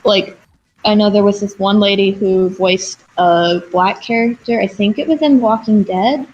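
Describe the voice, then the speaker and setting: A woman with a lisp and a very young-sounding voice, chatting fast and casually with lots of "like". Her voice comes through a dull, lo-fi mic. There is a faint hiss under it. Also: mid-range voice, darkish tone. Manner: chatty and quick, with many likes. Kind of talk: casual stream chat.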